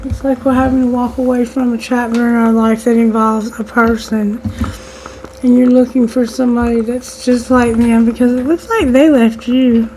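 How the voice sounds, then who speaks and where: A woman's voice: a little high, fairly even intonation, moderate pace, darkish tone, slight background noise, low-quality recording. A woman giving a tarot reading on her channel, whose voice is strained and fading. She speaks straight to her listeners as a collective, using spiritual wording and prayer. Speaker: tarot reader.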